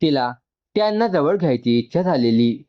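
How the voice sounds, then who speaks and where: A man's voice: a little high, expressive, normal speed, muffled recording. A man narrating an emotional story from a script.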